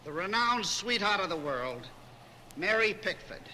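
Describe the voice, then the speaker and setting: A man with a very bright, forceful voice, pitched high, hosts a ceremony from a podium. He speaks in formal introductions, with faint noise on the archival recording. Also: very animated, unhurried, low-quality recording. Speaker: master of ceremonies. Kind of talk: formal public introduction speech. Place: podium before an audience.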